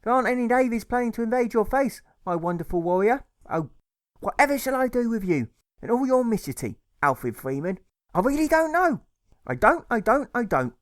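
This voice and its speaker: Man putting on a shrill, doting mother. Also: very animated, moderate pace. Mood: fond, exasperated. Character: doting, exasperated mother teasing her small son. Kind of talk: audiobook character voice.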